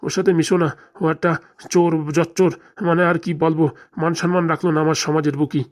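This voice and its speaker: A cartoon actor plays an anxious father near retirement with a rural Bengali accent. He talks extremely fast, rambling on in an aggrieved, lamenting way, like a man forever complaining in a village comedy. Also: medium pitch, little pitch movement, lightly acted. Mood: bitter, pleading. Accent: Bengali, rural dialect. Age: middle-aged. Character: anxious, aggrieved father nearing retirement, fretting over lost money.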